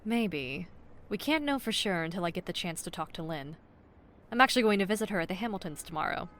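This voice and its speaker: A woman playing a determined, composed investigator in an audio drama, measured and confident. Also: lowish voice, lively intonation, fairly bright tone, slight background noise. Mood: purposeful.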